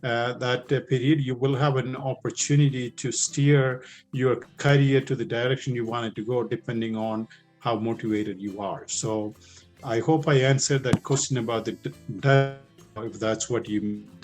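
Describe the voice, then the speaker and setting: A man's voice: medium pitch, fairly even intonation, normal speed, darkish tone, faint music behind. A middle-aged man with a thick Indian accent, a police officer answering recruits' questions in a loose, conversational way full of fillers and restarts. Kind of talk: informal Q&A talk.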